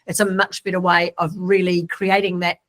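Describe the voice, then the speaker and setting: A woman's voice: on the low side, little pitch movement, moderate pace, a bit bright. Encouraging Australian woman hosting. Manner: encouraging, affirming, sums up the guest's points. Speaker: podcast host. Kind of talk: interviewing in casual conversation.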